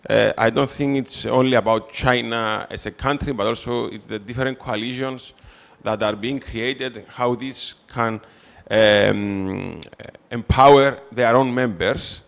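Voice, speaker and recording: A man with a Cypriot Greek accent moderating a conference panel discussion, speaking haltingly with many filler sounds. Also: mid-range voice, steady tone, vocal fry. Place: conference hall.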